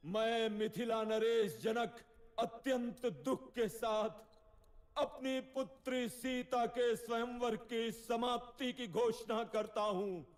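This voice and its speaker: A man's voice: extremely high pitch, takes it slowly. A man playing a proud, sorrowful king and father who speaks in a grave, formal lament in a mythological cartoon. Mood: grieving, grave.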